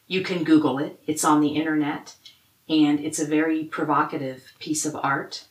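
A woman who is a poet, reading her own prepared words in a measured way. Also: low-pitched, steady tone, roomy sound, poor audio. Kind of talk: measured scripted poetry reading.

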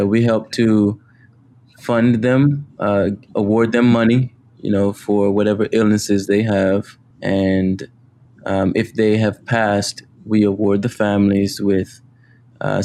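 A man speaking as a guest on a podcast, talking humbly about his work with many pauses and fillers. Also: on the low side, little pitch movement, mellow, slight background noise. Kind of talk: casual interview.

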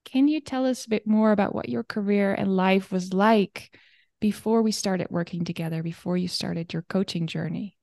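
A woman career coach hosts her own podcast, leaning on key words with upbeat emphasis. Her voice is mid-pitched and sits close and dry on the mic. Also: normal speed, creaky, darkish tone, dry recording. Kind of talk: podcast host promo and interview.

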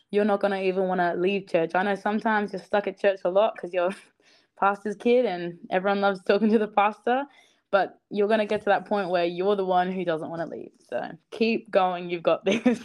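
A young woman talking as a podcast guest, earnest and upbeat, often laughing and using fillers like "you know". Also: medium pitch. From South Australia. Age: young adult. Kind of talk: casual podcast interview.

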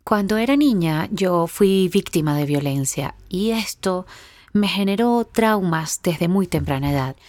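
A woman's voice: on the low side, lively intonation, unhurried, darkish tone, no room sound. A young woman, hosting her own podcast in a heartfelt, reflective personal monologue. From Venezuela. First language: Spanish. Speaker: podcast host.

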